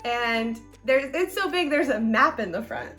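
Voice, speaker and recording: A young American woman co-host talks casually and playfully. Her voice sits a little high and swings with animated intonation. Faint music is in the recording. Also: unhurried. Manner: playful, giddy, slangy. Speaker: co-host of a book show. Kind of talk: casual enthusiastic chat.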